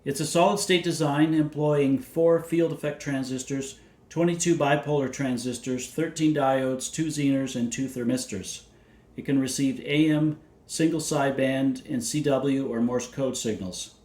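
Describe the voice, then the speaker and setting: A man with a mid-pitched voice narrates a scripted technical overview. He sounds measured and matter-of-fact as he works through details like a presenter reading specifications. The sound is noticeably roomy, with clear echo around the voice. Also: steady tone, a little mellow. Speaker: presenter of vintage radio videos. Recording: roomy, echoey indoor sound.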